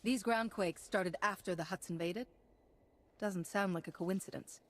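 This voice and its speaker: An actress playing a composed, principled female Jedi in a video game, speaking with measured, assured authority. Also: medium pitch, close to an everyday voice. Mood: resolute. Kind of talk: video game cutscene acting.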